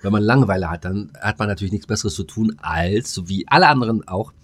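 A man's voice: on the low side, fast talker. A man co-hosting a podcast in a casual, comedic chat, speaking informally and playfully. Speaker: podcast co-host.

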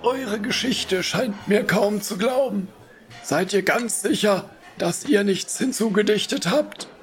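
A haughty medieval lord in a radio drama, doubting and condescending. His voice sits unusually high for a man. Faint music plays behind him. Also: slow. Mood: skeptical. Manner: doubting, lordly, then generous.

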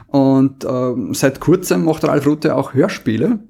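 Enthusiastic man chatting, medium pitch. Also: slow pace, gravelly, warm tone, breathy edge. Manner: enthusiastic, amused. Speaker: podcast host. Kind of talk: casual chat, recommending audio plays.

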